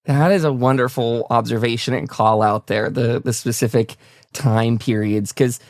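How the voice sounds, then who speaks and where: A man's voice: medium pitch, fairly even intonation, normal speed, darkish tone, dry recording, clean studio sound. A man hosting a podcast interview in casual, enthusiastic chat, rambling a little as he thinks aloud. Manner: enthusiastic, complimentary. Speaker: podcast host interviewing a guest.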